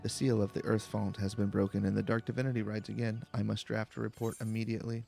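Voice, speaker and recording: A man reading video game dialogue aloud in a calm, slow, deliberate way for a let's play. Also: lowish voice, vocal fry. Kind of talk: calm, slow-paced read-aloud narration. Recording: faint background music. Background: faint game music.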